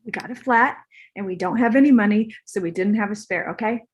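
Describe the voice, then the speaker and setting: A woman's voice: mid-range voice, a little fast, a little hiss or hum, close to an everyday voice. A woman playing a vain, laid-back young male movie star, hesitant and defensive, in a comedy table read.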